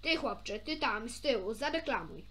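A woman's voice: a little high, lively intonation, normal speed, fairly bright tone, slight background noise. A woman voicing a pompous, bossy male school inspector in a storybook, speaking formally and emphatically. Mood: brisk.

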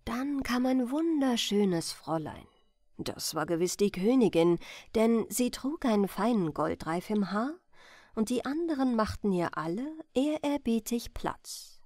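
A woman storyteller reading a bedtime fairy tale as scripted narration, with expressive, careful phrasing. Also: a little high, measured pace, no room sound, studio quality.

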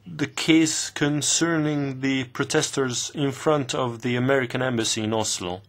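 A man interpreting formal committee questions live, speaking in halting bursts with pauses mid-phrase. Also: medium pitch, steady tone. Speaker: simultaneous interpreter.

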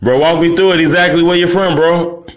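A man's voice: a little high, fairly even intonation, a little fast, noisy background. A man working as a street reporter, asking easygoing questions and calling his guest "bro" throughout. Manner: laid-back, keeps saying "right" and "bro". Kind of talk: casual street interview.

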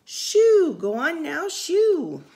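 A woman voicing indignant townsfolk in a storybook, exclaiming loudly and high as they shoo a dog away. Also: big pitch swings, drawn-out words, slight background noise, heavily acted. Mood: indignant, scolding.

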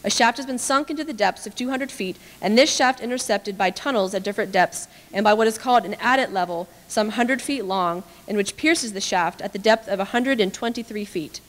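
A young woman giving a measured, formal reading of a historical text at a podium microphone. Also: medium pitch. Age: young adult. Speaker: reader at a staged dramatic reading. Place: large indoor hall with small audience. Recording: echoey hall, podium microphone.

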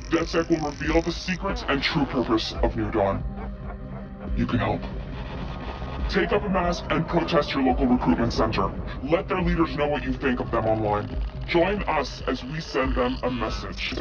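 A man's voice: a little high, muffled recording. A man playing a solemn, menacing hacker collective narrator delivering a scripted manifesto in a game. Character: grim anonymous male hacker collective propaganda narrator.

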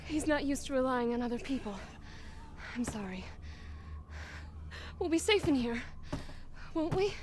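A woman's voice: high voice, animated, measured pace, long pauses. An actress playing a frightened young village woman who pleads urgently for her wounded father. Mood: worried. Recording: game cutscene with noisy ambience.

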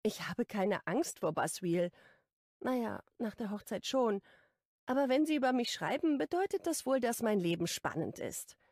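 A woman's voice: on the high side, lively intonation, normal speed, a little mellow, no room sound, clean studio sound. A woman narrating a first-person romance audiobook in an intimate, lightly playful tone.

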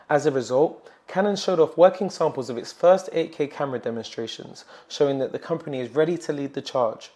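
A young man presenting a tech expo report, reading scripted narration in a measured way. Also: medium pitch, mellow. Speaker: presenter for a photo retailer. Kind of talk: scripted tech show voice-over. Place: large indoor expo hall. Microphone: lapel mic.

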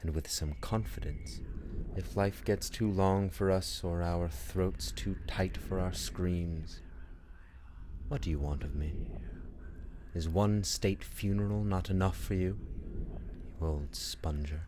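Radio drama: male actor as tormented, sardonic prince, deep-voiced, bitterly theatrical, clearly noisy recording with ambience. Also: slow. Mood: contemptuous, weary. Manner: brooding, dramatic, sardonic, slipping into whispers and outbursts. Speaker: performer in a student radio play. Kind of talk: theatrical radio-play monologue.

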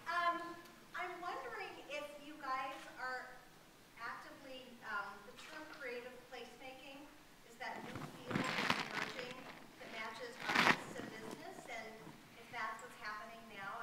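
A woman asking a question from the audience, projecting her loud voice without a microphone. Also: on the high side, lively intonation, moderate pace. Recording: distant audience voice, very echoey, noisy.

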